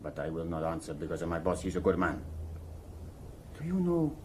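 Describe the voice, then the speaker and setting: An actor playing a deferential Italian chauffeur with an Italian accent, speaking hesitantly and politely in broken grammar. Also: male voice, sits low, very animated, normal speed, a little mellow, a little hiss or hum. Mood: troubled, earnest. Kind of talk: radio drama acting.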